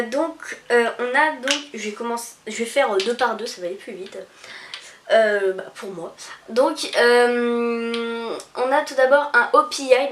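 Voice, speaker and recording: A young woman, chatting casually through a beauty haul, full of pauses and restarts. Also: fairly high pitch, fairly bright tone. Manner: hesitant, many fillers and restarts. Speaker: beauty vlogger. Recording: echoey room.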